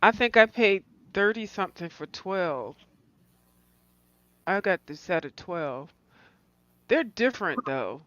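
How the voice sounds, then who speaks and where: A woman's voice: medium pitch, lively intonation, slow, long pauses, a bit bright, no room sound, dull audio. A woman chatting casually on a call, rambling loosely and thinking aloud as she talks. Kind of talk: casual chat about art supplies.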